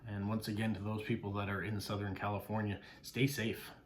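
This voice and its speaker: A man's voice: lowish voice, little pitch movement, fairly quick, slight background noise. A middle-aged Canadian man, a mail carrier, chatting casually as he unboxes postcards, thanking each sender with many "uh" fillers.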